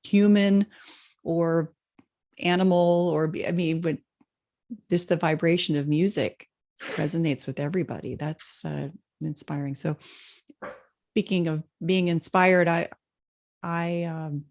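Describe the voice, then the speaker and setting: A woman's voice: lowish voice, fairly even intonation, measured pace, pauses often, darkish tone. A woman co-hosting a casual spiritual chat, hesitant, with many fillers, stumbling over names. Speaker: podcast co-host.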